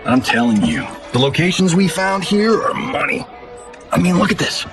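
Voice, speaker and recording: An actor playing a cocky, good-natured movie star in a video game, with a showy, casual, slangy delivery. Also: male voice, high-pitched, sing-song, moderate pace, over music. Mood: upbeat, joking. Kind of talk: video game cutscene acting.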